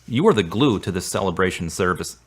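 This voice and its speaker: A man's voice: low voice, expressive, brisk, breathy edge. An actor playing a supportive, slightly awkward man in a radio drama, speaking earnestly and a little formally. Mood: earnest, consoling. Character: Derek, earnest, supportive, awkward male friend. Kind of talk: serial radio drama acting.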